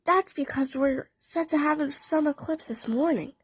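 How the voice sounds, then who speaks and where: Woman as a high-voiced, confiding cartoon cat. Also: unhurried, no room sound. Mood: uneasy, confiding. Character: female cartoon pillow cat, confiding, uneasy friend. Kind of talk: fan audio play dialogue.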